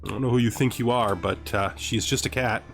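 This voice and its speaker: A man's voice: medium pitch, steady tone, moderate pace, vocal fry, a bit bright, soft background music. A man giving casual gameplay commentary, thinking aloud and often muttering questions and then answering them himself. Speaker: gamer narrating a let's play.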